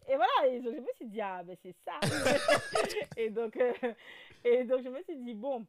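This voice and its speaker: A woman in her thirties with a Guadeloupean French accent, a guest telling her story in a casual interview, with lots of fillers, restarts and animated turns. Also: on the high side, a little slow. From French overseas territory. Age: 30s.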